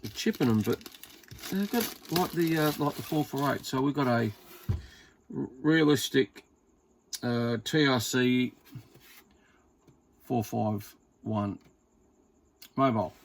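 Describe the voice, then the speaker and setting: Casting: Australian male hobbyist, creaky mid-pitched voice, informal off-the-cuff unboxing chat over faint background noise. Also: animated, slow pace, long pauses. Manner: rambling, informal, thinking aloud with mild swearing. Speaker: hobbyist radio reviewer. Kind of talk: casual unboxing and test commentary.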